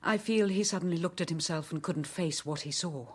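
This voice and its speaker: An actress playing a composed, resolute leading lady and widow in a radio drama, speaking formally and deliberately. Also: fairly low pitch, breathy edge. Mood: grave, composed.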